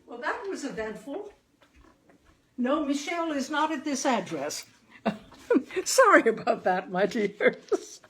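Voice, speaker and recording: A woman with a husky voice reading a literary story aloud expressively as its host. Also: high-pitched, sing-song, slow pace, long pauses, fairly bright tone, a little hiss or hum. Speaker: reader, host.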